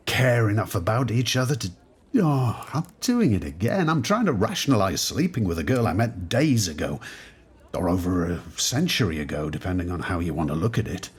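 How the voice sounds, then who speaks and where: Audio drama role: troubled male time traveller, middle-aged, very deep register, heavily rasped husk. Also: sing-song, normal speed, heavily acted. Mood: rueful, reflective. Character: troubled, guilt-ridden male time traveller posing as a surgeon. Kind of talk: audio drama diary monologue and dialogue.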